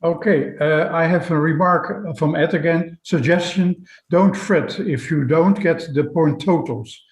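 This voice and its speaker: A man moderating a webinar, reading out audience questions and comments in a halting manner. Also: fairly high pitch. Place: indoors, online webinar. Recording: echoey room sound.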